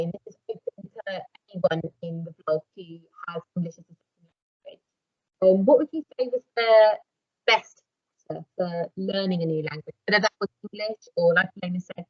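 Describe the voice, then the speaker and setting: A woman's voice: lowish voice, lively intonation, moderate pace, dry recording. A woman moderating a school video-call discussion, asking questions in a friendly, encouraging way. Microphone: laptop microphone on a video call. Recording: dull lo-fi laptop audio.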